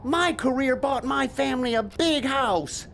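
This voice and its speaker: An actor playing a desperate, flustered washed-up stage magician in an audio drama, pleading haltingly. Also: male voice, very high-pitched, vocal fry, fairly bright tone, a little hiss or hum, full character voice. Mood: indignant.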